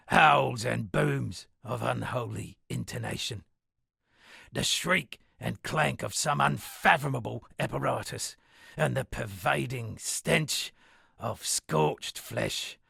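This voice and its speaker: An actor playing a self-satisfied town poet and storyteller in a video game, speaking in a florid, dramatic manner with a gravelly, husky voice. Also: male voice, mid-range voice, fairly bright tone, no room sound, studio quality, exaggerated voice. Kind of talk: theatrical game dialogue with declaimed verse.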